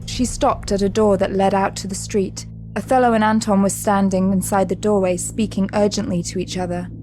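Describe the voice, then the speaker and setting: A woman's voice: mid-range voice, steady tone, brisk, a little mellow, soft background music, poor audio. A woman narrator reading dramatic scripted story narration for an audio drama.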